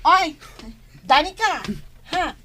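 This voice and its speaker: A woman's voice: very high-pitched, slow pace. A woman with an Ilocano accent playing a squawking, combative village woman in a comedy radio drama. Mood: indignant. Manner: squawking, exclaiming. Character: shrill, combative village woman brawling with friend. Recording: clearly noisy background.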